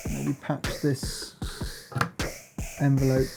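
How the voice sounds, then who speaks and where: A man giving a casual hands-on demonstration as a gear reviewer, explaining in a halting, hesitant way. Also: medium pitch, completely flat delivery, gravelly, over loud music.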